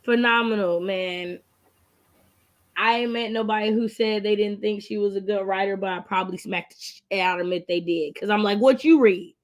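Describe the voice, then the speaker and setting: A woman with an American accent hosting a book readalong stream in an animated, colloquial, emphatic way. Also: medium pitch, moderate pace. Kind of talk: casual livestream chat.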